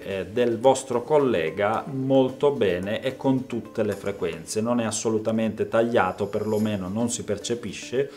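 A man, explaining a product to camera as a video maker giving a review. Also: fairly low pitch. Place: small home studio room, indoors. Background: background music.

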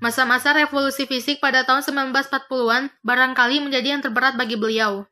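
A young woman reading a prepared history text aloud for a class, slowing down carefully over long foreign titles. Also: high voice, steady tone, pushed and bright.

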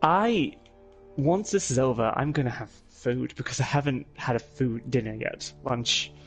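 A man's voice: mid-range voice, unhurried, soft background music. A young man chatting casually while gaming, explaining moves and swearing mildly. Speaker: co-player.

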